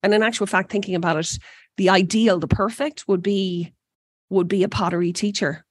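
A woman's voice: medium pitch, fairly even intonation, normal speed, dry recording. A woman in her forties with an Irish accent, the guest in an interview, talking with enthusiasm and often restarting her sentences. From Ireland. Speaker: energy company president, guest. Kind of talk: interview conversation.

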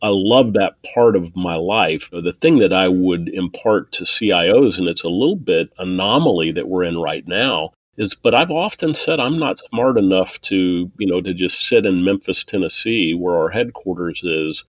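A man's voice: sits low, expressive, normal speed, hardly any pauses, dry recording. A middle-aged man answering interview questions about technology in a thoughtful way, often restarting and repeating words. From born in Taiwan, raised in an Air Force family. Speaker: guest, technology executive.

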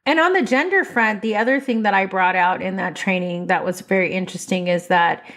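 A woman co-hosting a podcast, speaking boldly and expressively in relaxed conversation. She talks with emphasis and a big presence, at a middle pitch. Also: brisk. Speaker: podcast co-host. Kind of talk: casual chat.

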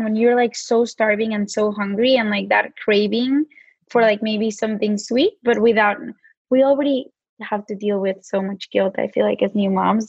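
A woman's voice: medium pitch, creaky, husky, no room sound. Casting note: Mexican-accented woman, easygoing chatty guest, dry and slightly muffled recording. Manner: chatty, many "like" fillers. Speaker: guest, brand co-founder. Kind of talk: casual podcast chat.

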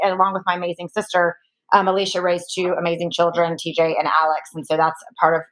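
A woman co-hosting a podcast, gushing warmly and enthusiastically as she introduces a guest. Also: sits low, poor audio. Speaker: podcast co-host. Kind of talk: casual podcast chat.

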